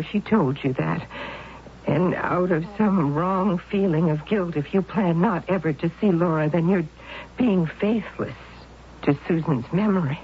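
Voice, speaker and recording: An actress playing a devoted, worried middle-aged aunt with earnest, emotional pleading in a 1970s radio drama. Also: female voice, fairly low pitch, natural voice. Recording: dull old radio broadcast with faint hiss.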